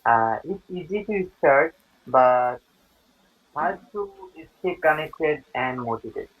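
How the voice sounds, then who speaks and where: A man's voice: a little high, animated, breathy edge, phone-quality sound. Hesitant Bangladeshi young man. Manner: hesitant, halting non-native English with many fillers. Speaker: test candidate. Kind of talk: practice speaking test.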